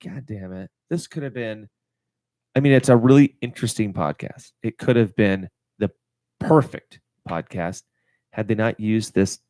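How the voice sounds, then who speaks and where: A man's voice: lowish voice, normal speed, dark tone, no echo. A man co-hosting a casual podcast, telling long rambling stories, swearing freely and laughing often. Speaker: podcast co-host.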